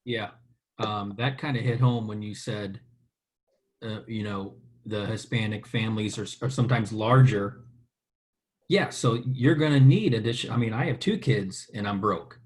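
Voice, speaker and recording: A man hosting a conference call interview in a relaxed, easygoing way, with hesitant fillers. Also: lowish voice, normal speed. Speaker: host. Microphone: video call.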